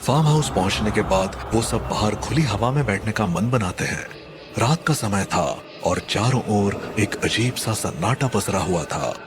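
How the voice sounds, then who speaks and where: Deep-voiced man narrating horror with suspense. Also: lively intonation, measured pace, noisy background. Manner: dramatic, suspenseful. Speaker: storyteller. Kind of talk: scripted horror story narration. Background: music.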